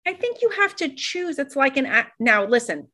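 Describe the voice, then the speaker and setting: A middle-aged woman from Iran, talking fast and animatedly as an interview guest, with many fillers and restarts. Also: sits high, big pitch swings, sharp tone. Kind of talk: reflective interview conversation.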